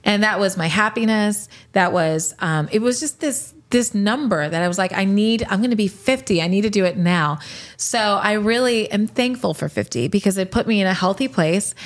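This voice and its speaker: A woman in her late forties, a podcast host, answering rapid-fire questions in a candid, reflective way and laughing easily. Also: medium pitch. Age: 40s.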